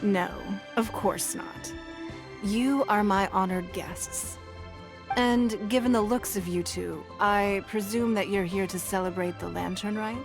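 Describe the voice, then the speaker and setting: A poised noblewoman ruler, acted by a woman with a mid-pitched voice and a measured, gracious delivery. Soft music plays behind her, as in a video game cutscene. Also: fairly even intonation, lightly acted. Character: poised, dignified noblewoman leader of a city.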